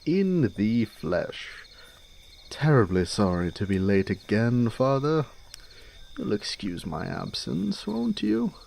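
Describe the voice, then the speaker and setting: A man's voice: medium pitch, very animated, slow pace, creaky, a little mellow, a little hiss or hum, heavily acted. A man playing a suave young nobleman in a fantasy audio drama, airy, genteel and teasing. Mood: breezy, teasing.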